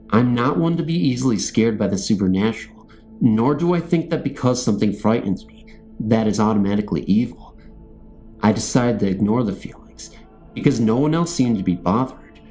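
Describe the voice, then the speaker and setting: A man's voice: fairly low pitch, a little mellow. A man reading a scripted first-person ghost story as a narrator. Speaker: narrator of true ghost stories.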